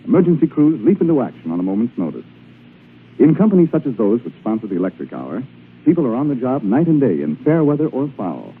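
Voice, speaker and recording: Animated American radio announcer, tinny old recording. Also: male voice, mid-range voice, slight background noise. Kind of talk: 1940s radio announcing and storytelling.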